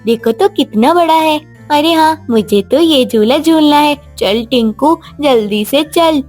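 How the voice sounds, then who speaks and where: A woman voicing an excited, boastful young child in an animated story, bubbly and exclamatory. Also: high voice, unhurried, faint music behind, pitched above the performer's own voice. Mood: thrilled. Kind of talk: animated moral story dialogue.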